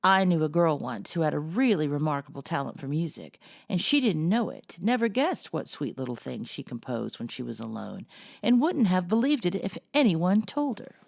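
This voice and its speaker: Lady reading as a storybook teen boy, mischievous and teasing, animated, voice lifted. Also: sits low, moderate pace, creaky, dry recording, studio quality, pitched above the performer's own voice, heavily acted. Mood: playful. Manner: teasing, bright-spirited. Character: lively, mischievous, good-natured teenage boy.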